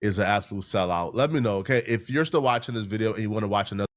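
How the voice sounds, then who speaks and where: A man's voice: lowish voice, racing. An American man hosting casual reaction commentary, slangy and speaking straight to his viewers. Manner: slangy, addresses viewers directly. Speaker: reaction video host.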